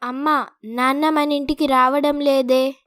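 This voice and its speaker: A man's voice: very high-pitched, level tone, a little slow, bright, forceful tone, pitched above the performer's own voice, exaggerated voice. A man voicing a young, innocent boy in a storybook tale. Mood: wondering. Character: young innocent boy asking his mother.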